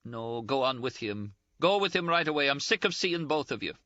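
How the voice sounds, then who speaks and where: A man voicing a tearful, scolding young Scottish nurse, shrill and sobbing in an audiobook. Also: animated, normal speed, bright, forceful tone, no echo, lo-fi sound. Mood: distraught. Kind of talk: audiobook character voice.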